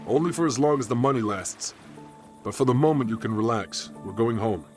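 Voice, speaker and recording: Male actor as roguish squad leader, medium pitch, brisk, informal, confident, game cutscene, music behind. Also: lively intonation, natural voice. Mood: playful, easy. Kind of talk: dramatic video game cutscene acting.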